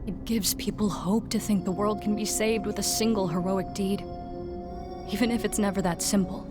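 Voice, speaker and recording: An actress playing a solemn, disillusioned woman warrior in a video game cutscene, grave and measured. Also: mid-range voice, fairly even intonation, over music, lightly acted. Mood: sorrowful, resigned.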